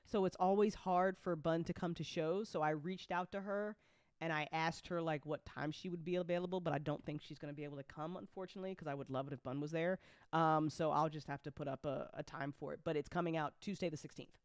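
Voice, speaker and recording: A woman hosting a casual livestream chat as an audio drama creator, animated and joking, with informal, sweary talk full of y'all. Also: low voice, fast talker, clear, resonant tone, no echo, studio quality. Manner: informal speech with frequent y'all. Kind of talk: casual livestream chat answering audience questions.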